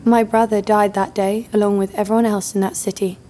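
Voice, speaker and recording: A woman's voice: medium pitch, flat delivery, fairly quick, mellow, slight background noise, lightly acted. Tough, sardonic woman bounty hunter, acted out. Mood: curt, bitter. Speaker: lead actor and narrator. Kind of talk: scripted audio drama narration.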